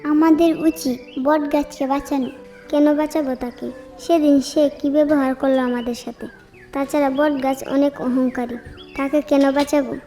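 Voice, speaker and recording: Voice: high-pitched, little pitch movement, moderate pace, warm tone, soft background music, lightly acted. A performer voicing kindly, polite talking nature characters, a queen bee and a mango tree, in a children's fairy tale cartoon. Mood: urgent, earnest.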